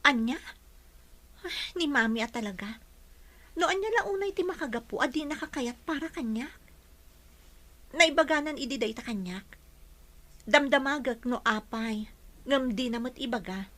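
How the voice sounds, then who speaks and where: Woman playing earnest young daughter, high bright voice. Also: lively intonation, normal speed, long pauses. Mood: concerned, earnest. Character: earnest young daughter devoted to her boyfriend. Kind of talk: Ilocano radio drama acting.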